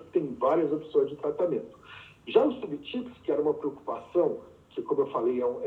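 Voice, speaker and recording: A man, a physician and researcher, patiently explaining as a guest in an interview with everyday examples. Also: a little high. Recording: distant, echoey, phone-like remote audio.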